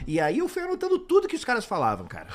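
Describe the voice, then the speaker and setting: A man's voice: very high-pitched, rapid-fire, slight background noise. A man with a slight American accent whose first language is English, a sports commentator telling stories in a casual podcast chat. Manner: animated storyteller.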